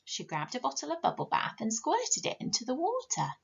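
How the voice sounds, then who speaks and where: A woman's voice: high voice, wildly swooping pitch, a little fast, vocal fry, slightly rough, muffled recording. A middle-aged woman, a teacher reading a picture book expressively and questioning young listeners.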